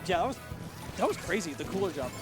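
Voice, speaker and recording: A man casting a live esports match at a big venue, excitable and fast with his calls, his voice worn and hoarse from shouting. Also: high-pitched, music in the background. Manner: excitable, rapid play-by-play with exclamations. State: losing his voice. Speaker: esports commentator. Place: large tournament venue.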